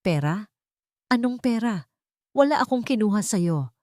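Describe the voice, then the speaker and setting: A woman's voice: mid-range voice, lively intonation, measured pace, mellow, dry recording, studio quality, voice pushed up, close to an everyday voice. Woman acting a bitter, defiant single mother. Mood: angry, hurt. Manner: emphatic, accusing. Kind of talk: dramatic audio story acting.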